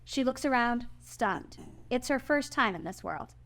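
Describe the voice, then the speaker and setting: A woman's voice: a little high, expressive, unhurried, a bit bright. A woman reading out screenplay stage directions as the narrator at a table read.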